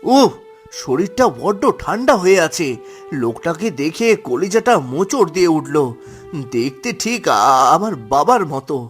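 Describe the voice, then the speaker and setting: A man's voice: sits high, very animated, a little slow. A man playing a kind-hearted, earnest and hesitant poor husband in a cartoon. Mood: urgent. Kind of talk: Bengali moral-story cartoon acting. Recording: music under the voice.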